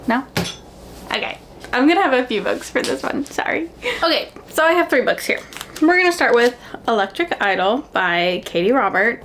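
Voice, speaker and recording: Chatty young woman with audible background noise. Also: medium pitch. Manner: animated, gushing, dramatic emphasis. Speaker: book reviewer and vlogger. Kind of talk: casual chatty book recommendation vlog.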